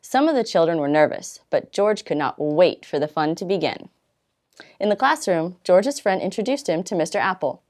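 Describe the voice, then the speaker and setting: A woman with a slightly low voice reads a children's storybook aloud. Her intonation is very animated, and she uses dramatic pauses and stretched words to carry the story. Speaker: volunteer storytime reader.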